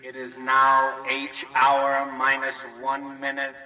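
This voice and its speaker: An actor playing a public-address announcer who counts down in a slow monotone in a 1950s radio drama. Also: male voice, medium pitch, muffled recording. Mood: flat. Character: loudspeaker countdown announcer, flat. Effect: public address speaker. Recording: distant echoing loudspeaker.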